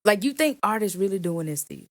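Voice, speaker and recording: Woman, singer-songwriter guest; deep voice, emphatic and playful, dry close recording. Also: fairly quick, dry recording. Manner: animated, emphatic, playful teasing. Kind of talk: casual interview chat.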